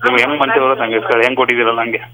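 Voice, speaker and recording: A man's voice: medium pitch, level tone, very fast, bright, forceful tone, low-quality recording. A man answering a loan question on the phone in a blunt, impatient manner. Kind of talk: phone conversation.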